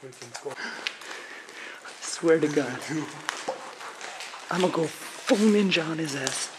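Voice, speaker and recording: A young man exploring an abandoned building at night, excitable and swearing, often dropping to a whisper. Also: fairly high pitch, slow. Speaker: paranormal explorer. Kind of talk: casual night exploration chat. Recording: noisy handheld camera audio.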